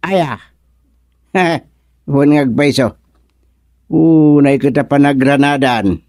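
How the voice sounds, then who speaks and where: A man playing a lively, chatty village man in an Ilocano radio comedy. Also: a little high, slow, dry recording, studio quality. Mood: jovial. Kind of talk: Ilocano radio drama acting.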